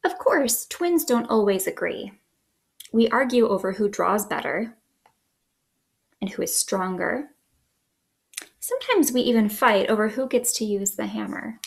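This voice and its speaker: A woman in her thirties hosting a children's story time, reading aloud in an expressive, sing-song way at home. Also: medium pitch, a little slow, vocal fry, pauses often. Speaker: children's librarian, storytime host. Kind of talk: picture book read-aloud for young children. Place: small living room indoors.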